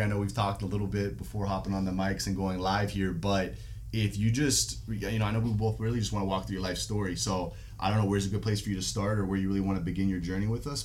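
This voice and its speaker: A man's voice: on the low side, darkish tone, a little hiss or hum. A man hosting a podcast, reading a sponsor spot and then interviewing a guest in an upbeat, enthusiastic way. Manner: upbeat, enthusiastic, short backchannels. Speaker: podcast host.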